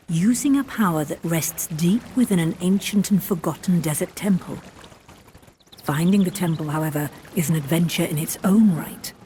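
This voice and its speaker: A woman playing a grave storyteller who narrates a fantasy video game with theatrical, ominous and deliberate phrasing. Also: lowish voice, slight background noise, natural voice. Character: grave, dramatic female storyteller of a fantasy adventure. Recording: loud music behind. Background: dramatic game music.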